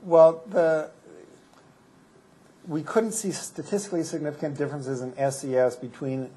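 A man's voice: on the high side, fairly even intonation, normal speed, dark tone, a little hiss or hum. A man presenting medical research findings at a conference and answering audience questions, explaining with frequent restarts and fillers. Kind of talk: academic conference talk with Q&A.

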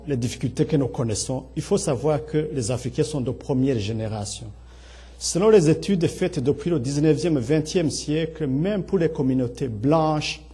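A middle-aged man with a Burundian accent and a husky voice, giving an earnest, explanatory public speech with halting, repeated phrases. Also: medium pitch, warm tone. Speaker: speaker at a public talk on integration. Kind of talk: public speech, partly improvised.

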